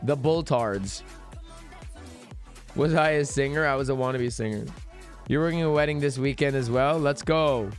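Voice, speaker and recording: A man hosting a casual trading live stream, hoarse after losing his voice, talking in slangy, profane bro-talk with low energy. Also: normal speed, long pauses, faint music behind. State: hoarse, lost voice. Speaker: livestream trading host reading chat.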